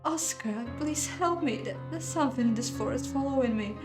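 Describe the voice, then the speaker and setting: A woman's voice: high voice, normal speed, music in the background, pitched above the performer's own voice, lightly acted. A woman voicing a frightened young bride-to-be in a visual novel, pleading urgently and frantically.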